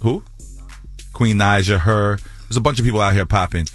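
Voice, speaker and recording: A man's voice: fairly low pitch, normal speed, soft background music. A man hosting a radio interview in a studio, joking and bantering with his guest. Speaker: radio DJ host.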